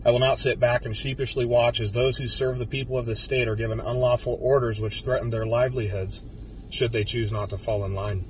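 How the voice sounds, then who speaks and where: An American man in his thirties, a police officer, giving a solemn, deliberate prepared speech to camera in a car. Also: mid-range voice, level tone. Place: inside a car. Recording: slightly distant mic, faint car noise.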